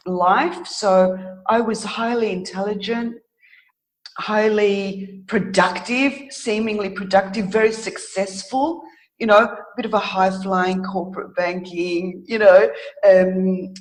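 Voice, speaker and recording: A middle-aged woman telling her own story as an interview guest, reflective and thoughtful, often pausing to search for words. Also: on the low side, rough recording.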